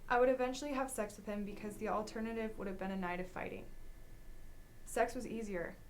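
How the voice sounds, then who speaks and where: A woman's voice: medium pitch, fairly even intonation, fairly quick, slight background noise, low-quality recording. A young adult woman, a student, reading a personal account aloud. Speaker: student reader.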